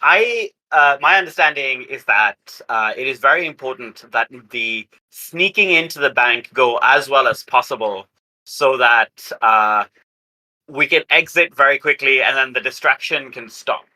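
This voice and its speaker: A man playing a tabletop roleplaying game, talking through his moves casually but with deliberate, somewhat formal phrasing. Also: mid-range voice, animated, bright, forceful tone, rough recording.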